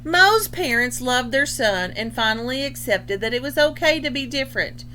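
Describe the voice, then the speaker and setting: A woman reading a children's picture book aloud expressively, pausing to explain things in simple terms. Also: high-pitched, lively intonation, bright, forceful tone, a little hiss or hum. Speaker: storybook reader.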